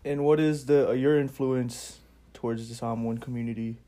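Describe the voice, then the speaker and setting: A man's voice: mid-range voice, fairly even intonation, moderate pace, mellow. A young man hosting a casual amateur podcast and interviewing a guest. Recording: faint ambient background noise.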